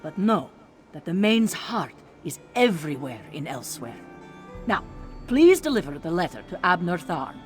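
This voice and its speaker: A female cat-folk envoy from a fantasy video game. She is formal and dutiful, with measured phrasing and expressive movement in her pitch, over faint music. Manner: formal, refers to herself in third person. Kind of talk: video game character dialogue.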